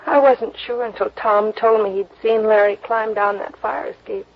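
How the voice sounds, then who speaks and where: An actress playing a vulnerable, pleading woman in a classic radio melodrama, with halting, emotional delivery. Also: mid-range voice, natural voice. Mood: sorrowful. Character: anguished young woman in trouble, pleading and vulnerable. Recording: muffled old radio recording.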